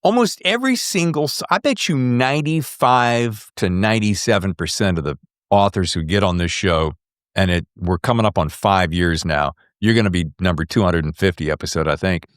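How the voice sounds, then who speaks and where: A man hosting a podcast, chatting casually and enthusiastically in an animated, exclamatory way. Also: deep voice, normal speed, no room sound, clean studio sound. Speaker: podcast host. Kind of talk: casual enthusiastic interview chat.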